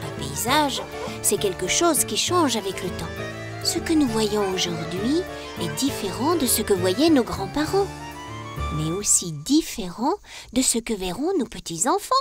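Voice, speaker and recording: A woman playing a kindly, lively guide who narrates history for children in a clear storytelling manner. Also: medium pitch, a little slow, music in the background. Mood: explanatory.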